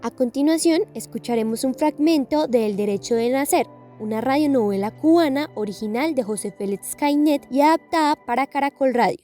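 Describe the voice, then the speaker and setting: A woman's voice: high-pitched, fairly quick, warm tone, soft background music. A woman reading a scripted documentary narration.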